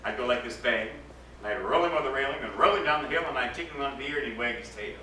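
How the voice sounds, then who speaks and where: A man voicing mischievous, excitable young boys in a live story reading, eager and boastful. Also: medium pitch, racing. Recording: echoey distant live recording with background noise.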